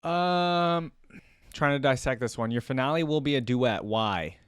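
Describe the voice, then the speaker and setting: A man's voice: medium pitch, a little slow, smooth, no echo, clean studio sound. A man giving casual reaction commentary as host, easygoing and thinking aloud as he goes. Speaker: host of a fan reaction video.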